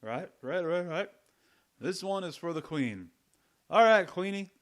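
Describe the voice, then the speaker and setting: A stubborn, tipsy American man played by an actor in a radio play. He is boisterous and challenging, with a fairly high male voice and a slow, dragged delivery. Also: animated, very noisy. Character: stubborn tipsy American buddy from New York. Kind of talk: old-time radio drama acting.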